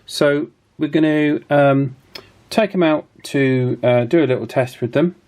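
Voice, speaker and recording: A man's voice: fairly high pitch, a little slow, a little mellow. A man hosting a casual hands-on demonstration, chatting and thinking aloud as he goes. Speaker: host of radio repair channel.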